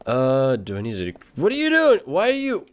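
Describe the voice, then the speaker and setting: A man's voice: mid-range voice, huge pitch swings, moderate pace, clear, resonant tone. A man giving casual let's play commentary, muttering wry asides to himself over game music. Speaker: gamer commentator.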